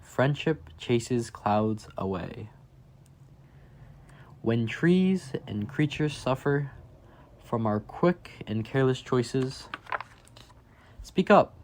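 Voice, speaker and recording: A man reads a children's picture book aloud at an unhurried, slow pace. His voice sits in a middle register, and he lifts it emphatically on key phrases for an expressive storytime delivery. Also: medium pitch, a little hiss or hum. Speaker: reader for a children's reading program.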